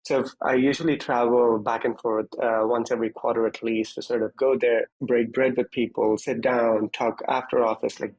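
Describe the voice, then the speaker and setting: Husky-voiced man explaining things. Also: mid-range voice, darkish tone, rough recording. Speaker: interview guest, security executive. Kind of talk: conversational technical explanation.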